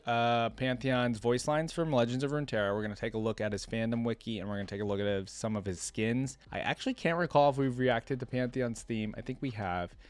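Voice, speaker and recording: A man's voice: mid-range voice, dry recording. A man hosting a reaction video in casual chat, informal and thinking aloud as he goes, often repeating himself.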